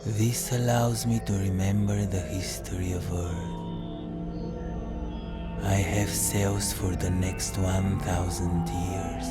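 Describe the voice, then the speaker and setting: Man acting as ancient lone space drifter, low-pitched, monotone, solemn, weary, measured, sci-fi drama, loud music underneath. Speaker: actor in an audio drama. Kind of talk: scripted science fiction monologue.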